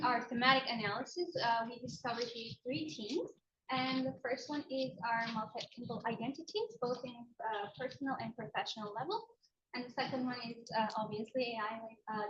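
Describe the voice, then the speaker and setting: Woman giving a conference talk, bit high voice, lots of ums, dull lo-fi recording w faint hiss. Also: roomy sound. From Turkey. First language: Turkish. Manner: hesitant, with frequent uh and um. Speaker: conference presenter. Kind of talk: academic conference presentation.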